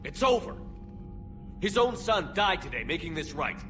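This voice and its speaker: A man's voice: sits high, sing-song, normal speed. A man playing a resolute, authoritative space military commander in a video game cutscene. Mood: angry, forceful. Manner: firm, authoritative. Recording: music behind the voice.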